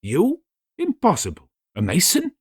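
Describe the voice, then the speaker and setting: A man's voice: high-pitched, huge pitch swings, measured pace, a little mellow, husky, dry recording, voice pushed up, exaggerated voice. A haughty, tipsy nobleman acted out by a male narrator in a classic story reading. His voice jumps well above the reader's own and swings widely, with boastful, dismissive exclamations. The sound is dry and close. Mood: incredulous, scornful. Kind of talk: dramatic audiobook character reading.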